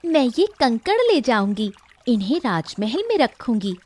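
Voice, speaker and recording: A woman's voice: on the high side, very animated, moderate pace, a little mellow. A woman voicing a happy, romantic young royal in a cartoon story, affectionate and eager. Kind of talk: animated moral story dramatization.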